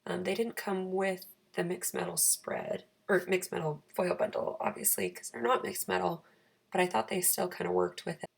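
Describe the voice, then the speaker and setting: A woman with a raspy, croaky voice giving a chatty, self-deprecating, casual craft voice-over for her planner channel. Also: fairly low pitch, steady tone, normal speed.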